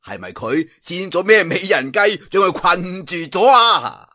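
A man's voice: sits high, very animated, measured pace, bright, forceful tone, voice pushed up, heavily acted. A man voicing a boisterous, haughty middle-aged martial arts master with a mocking, laughing manner and a Cantonese accent. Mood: mocking, demanding.

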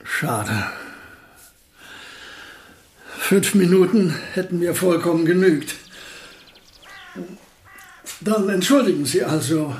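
A gentle scatterbrained old professor, kinda high voice, polite n hesitant, sorry about everything, radio drama. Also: male voice. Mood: mild, resigned. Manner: hesitant, courteous, apologetic. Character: gentle, absent-minded, apologetic elderly male physicist. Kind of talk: German radio play acting.

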